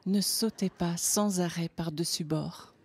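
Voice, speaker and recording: A woman giving a live interpretation of a talk, phrase by phrase, in a steady, plain delivery that sometimes turns to a soft whisper. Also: fairly low pitch, a little slow, slightly rough.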